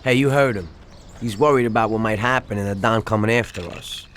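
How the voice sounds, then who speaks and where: An actor playing an excitable young gangster who talks in street slang and breaks into sudden outbursts. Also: male voice, lowish voice, fairly quick, natural voice. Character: excitable young male gangster, tempted by loot. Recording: game audio with street noise.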